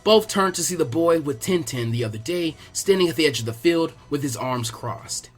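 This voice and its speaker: A man's voice: on the high side, expressive, moderate pace, faint music behind. A man narrating fan fiction as a scripted story reading.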